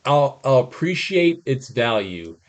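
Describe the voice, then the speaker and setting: A man's voice: medium pitch, sing-song, unhurried. A man co-hosting a podcast, giving casual opinions on movies in informal, sweary language. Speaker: podcast co-host. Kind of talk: casual chat about movies.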